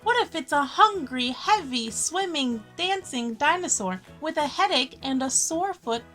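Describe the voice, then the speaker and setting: Woman voicing an excited young storybook girl, high-pitched. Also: unhurried, soft background music, full character voice. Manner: shouting, emphatic, breathless lists. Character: excited, bold young girl in picture book.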